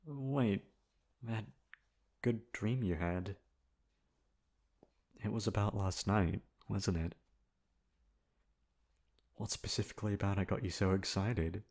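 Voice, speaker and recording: Casting: male actor as dominant, flirty best friend turned lover for ASMR roleplay; low, gravelly, unhurried teasing. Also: darkish tone.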